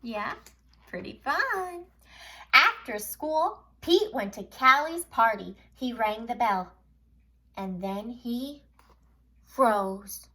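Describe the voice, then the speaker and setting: A bright, high-voiced woman reads a picture book to young children. Her delivery is expressive, with questions for the listeners and some hushed asides, and it moves at an unhurried pace. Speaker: storybook reader addressing young children. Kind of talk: animated children's read-aloud.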